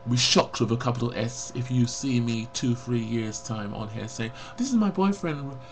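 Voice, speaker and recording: A man's voice: mid-range voice, moderate pace, a little hiss or hum. A man of forty talking frankly and bluntly to a webcam in a personal confessional vlog, rambling and reflective. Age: 40s. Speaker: vlogger.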